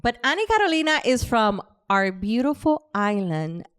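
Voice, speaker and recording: A woman's voice: on the high side, very animated, moderate pace, smooth. A woman with a Latin American Spanish accent hosting a podcast, chatting casually and heaping praise on her guest. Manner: effusive, warm praise with frequent laughs. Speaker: podcast host. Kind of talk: casual interview chat.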